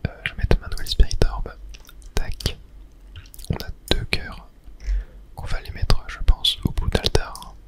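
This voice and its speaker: A person whispering softly through casual ASMR video game commentary, murmuring play-by-play with little filler words. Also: measured pace, long pauses. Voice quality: soft unvoiced whisper. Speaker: gaming ASMR creator.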